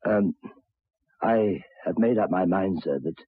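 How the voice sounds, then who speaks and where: A man's voice: sits low, slightly rough, dry recording. Troubled signalman, tinny old radio. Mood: haunted. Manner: halting, hesitant, repeating words. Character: troubled, educated railway signalman haunted by fear. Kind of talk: old-time radio drama acting. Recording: tinny, band-limited old radio recording.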